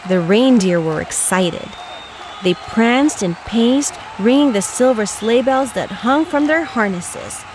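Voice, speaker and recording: A woman reading a children's storybook aloud as a storytime host, with expressive, dramatic and careful phrasing. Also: on the high side, noisy background.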